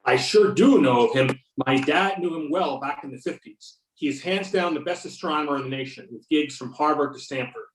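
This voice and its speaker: A man's voice: fairly high pitch, brisk, hardly any pauses, natural voice. A man playing an earnest, argumentative agent who believes in UFOs, reading in an amateur readers theater over a video call. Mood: keen, insistent. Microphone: video or conference call. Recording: roomy, distant video-conference microphone.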